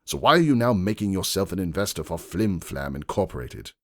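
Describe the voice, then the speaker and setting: A man performs an indignant aristocratic unicorn stallion from a fantasy story. He is forceful and accusatory, pushing his points hard in a low, gravelly voice that swings widely in pitch. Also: sing-song, fairly quick, dry recording, studio quality, exaggerated voice. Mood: angry, pleading. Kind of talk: dramatic fan fiction reading.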